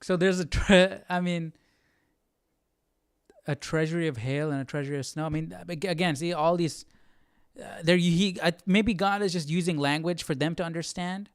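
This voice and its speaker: A man's voice: fairly high pitch, moderate pace, smooth, no echo, studio quality. A man hosting a livestreamed study session, chatting informally with exclamations and repeating himself for emphasis. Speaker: Bible study livestream host. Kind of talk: casual chat commentary.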